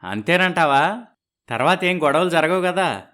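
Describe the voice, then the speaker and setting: Man as worried, expressive middle-aged father. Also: on the high side, moderate pace. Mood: anxious. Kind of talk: dramatized moral story dialogue.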